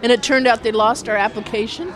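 A woman's voice: a little high, a little fast, crowd noise. A woman giving a casual interview as a member of a radio station team. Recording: field interview with loud music behind.

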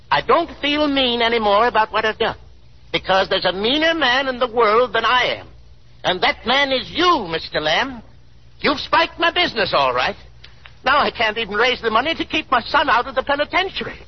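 Radio play role: ruined, defiant father, folksy and stammering, rough husky voice, muffled vintage broadcast sound. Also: very high-pitched, big pitch swings, normal speed, full character voice. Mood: anguished, indignant. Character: overwrought aging father, ruined small factory owner, defiant. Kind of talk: 1930s radio drama acting.

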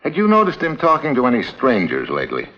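An actor with an American accent playing a brisk, impatient investigator in a vintage drama, talking in clipped slang on a dull old recording. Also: male voice, mid-range voice, huge pitch swings. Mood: businesslike. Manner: clipped, slangy, hard-boiled. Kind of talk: old-time radio drama acting.

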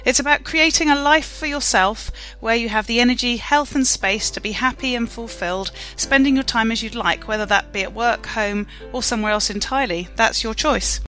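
A woman's voice: on the high side, brisk, few pauses, bright, forceful tone, faint music behind. A woman hosting an interview podcast, asking questions in a friendly, encouraging way. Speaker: podcast host.

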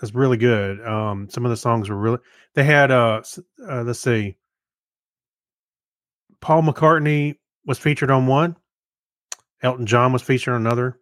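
A man's voice: medium pitch, normal speed, pauses often, fairly bright tone, no echo, clean studio sound. A man co-hosting a podcast, giving informal opinions in a rambling, hesitant, conversational way. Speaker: podcast co-host. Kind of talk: casual chat, informal reviews.

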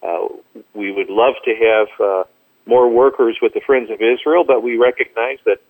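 A man of medium pitch gives interview answers as a ministry guest. He explains in a measured way, and his voice comes through a narrow, tinny telephone connection. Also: fairly even intonation. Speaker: ministry director, radio guest. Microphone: telephone call. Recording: tinny narrow-band phone line.

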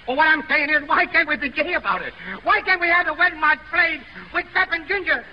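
An actor playing a brash, bitter, hard-drinking burlesque comedian in a slangy, theatrical 1930s radio drama style. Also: male voice, very high-pitched, a little fast, a little hiss or hum. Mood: forced cheer, bitter. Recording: muffled, echoey 1930s radio broadcast.

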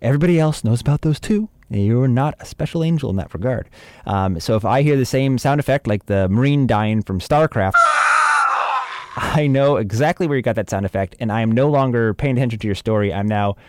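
A man's voice: medium pitch, fairly quick, a little mellow, a little hiss or hum. A man giving informal, chatty instruction as an audio drama producer, with wry humour.

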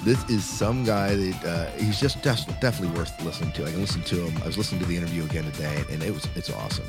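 Deep-voiced male podcast host. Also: very fast, music in the background. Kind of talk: casual chat.

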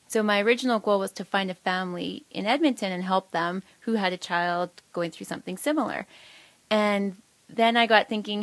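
Canadian woman, medium pitch, dry close sound. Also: no echo. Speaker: charity founder being interviewed. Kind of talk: conversational storytelling in an interview.

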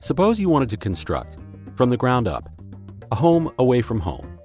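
A man's voice: mid-range voice, huge pitch swings, moderate pace, a little mellow, soft background music. A male podcast host narrating a scripted story and posing rhetorical questions.

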